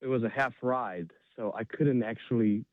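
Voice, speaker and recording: A man's voice: medium pitch, moderate pace, dry recording. A man from Romania, a native Romanian speaker, tells his story informally and enthusiastically as an interview guest. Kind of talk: animated personal storytelling in an interview. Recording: thin phone-like remote call sound.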